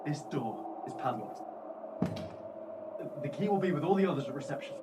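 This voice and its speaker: A man acts out a fearful hotel insider in a radio drama, grave and insistent. His pitch moves restlessly, and he sounds away from the microphone amid clear background noise. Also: lively intonation, normal speed, close to an everyday voice. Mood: insistent warning. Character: fearful, urgent hotel insider warning of hidden danger. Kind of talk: radio play acting. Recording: distant mic, noisy outdoor ambience.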